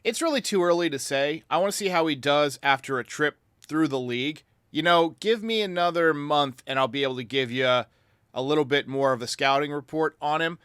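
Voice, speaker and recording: A man's voice: on the high side, fairly quick, fairly bright tone, clean tone, dry recording, clean studio sound. A man hosting a sports podcast, giving commentary in reply to fans. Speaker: podcast host. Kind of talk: sports commentary answering fan voicemails.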